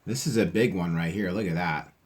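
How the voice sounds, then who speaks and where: A man with a slightly husky voice reading aloud to children and explaining things simply in a chatty, encouraging teacher's manner. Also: deep voice, a little fast. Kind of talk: animated read-aloud with casual explanations.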